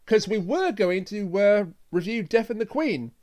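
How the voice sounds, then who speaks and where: A man with a high-pitched voice hosts a review show. He talks casually, steering a panel discussion. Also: lively intonation, measured pace, darkish tone. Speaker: show host.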